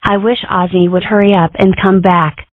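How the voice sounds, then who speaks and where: A woman's voice: medium pitch, steady tone, moderate pace, no room sound, natural voice. Woman voicing a matter-of-fact cartoon girl, phone-like sound. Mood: wry. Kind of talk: comic strip dub. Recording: muffled phone-like band.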